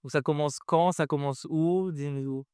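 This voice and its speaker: Clear-voiced man hosting a podcast. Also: fairly high pitch, steady tone, unhurried, darkish tone, dry recording, clean studio sound. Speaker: podcast host, interviewer. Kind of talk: casual conversational interview.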